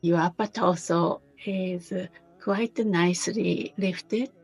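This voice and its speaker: Gentle woman meditation teacher, really slow w pauses, bit husky, soft music in the back. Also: lowish voice, steady tone. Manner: gentle, unhurried, with pauses between short phrases. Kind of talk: guided meditation.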